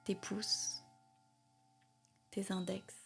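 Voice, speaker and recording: A woman leading a guided meditation as a yoga nidra guide, gentle and soothing, speaking in short phrases with long pauses. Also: medium pitch, little pitch movement, vocal fry, over music.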